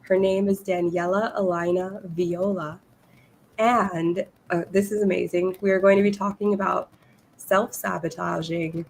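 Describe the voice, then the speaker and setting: A woman hosting a podcast interview, a little hoarse and losing her voice, chatting eagerly with her guest. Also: on the low side, fairly even intonation, unhurried, slight background noise. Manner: enthusiastic, reads guest bio aloud. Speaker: podcast host. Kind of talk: casual interview.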